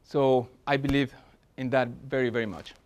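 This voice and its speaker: A man's voice: mid-range voice, fairly even intonation, normal speed, smooth, dull audio. A man in his forties with a Spanish accent, presenting at a business workshop in an earnest way, with frequent fillers and restarts. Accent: Spanish-accented English.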